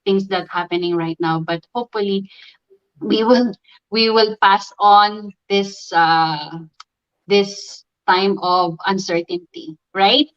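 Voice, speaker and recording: A woman originally from the Philippines hosts a live online interview over a video call. She speaks slowly and haltingly, filling gaps with ums and restarting her sentences. The stream audio is slightly dull and muffled. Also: mid-range voice, steady tone, clear, resonant tone. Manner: hesitant, many ums and restarts. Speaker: livestream host.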